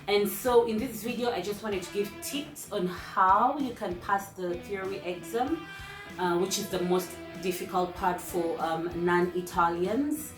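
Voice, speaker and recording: A native English-speaking woman talks straight to her viewers in a chatty, easygoing way, counting off her tips one by one. Her voice sits at a middling pitch and carries a roomy echo, with faint music playing softly underneath. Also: unhurried. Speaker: vlogger hosting her own channel. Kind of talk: casual tips talk to viewers.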